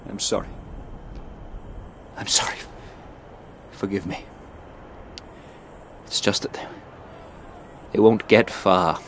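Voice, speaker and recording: A broken, guilt-ridden man in a historical radio drama. He murmurs haltingly and slowly, as if confessing. Some background noise sits behind the voice. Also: low voice, fairly even intonation, a bit bright, exaggerated voice. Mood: remorseful, broken. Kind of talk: audio historical drama acting.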